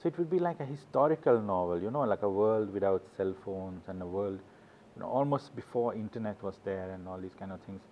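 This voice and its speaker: Halting author, dull recording. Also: male voice, lowish voice, slight background noise. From Sweden. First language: Swedish. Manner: rambling, anecdotal, halting restarts. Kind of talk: casual interview talk.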